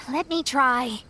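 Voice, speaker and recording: Earnest, high-voiced young investigator. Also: female voice, sharp tone, a little hiss or hum, heavily acted. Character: earnest young female investigator explaining clues. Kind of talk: video game English dub acting.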